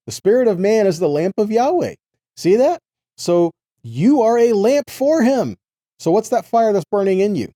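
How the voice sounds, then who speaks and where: A man's voice: on the high side, warm tone, dry recording, clean studio sound. A man giving an earnest Bible teaching, reading verses aloud and putting rhetorical questions to his listeners. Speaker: preacher teaching scripture.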